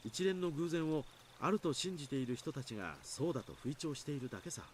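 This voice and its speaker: A male actor voices an easygoing adult man from a visual novel, reassuring and wordy. He rattles along very quickly with a lively, mobile pitch, over faint background ambience. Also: slight background noise, natural voice. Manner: explanatory, reassuring, long-winded. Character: easygoing adult man calmly explaining away village curse rumours. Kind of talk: Japanese visual novel voice acting.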